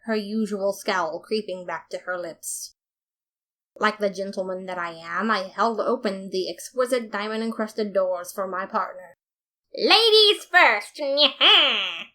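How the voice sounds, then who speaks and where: A woman reading a fan story aloud as narrator, with lively, playful storytelling. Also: medium pitch. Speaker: podfic reader.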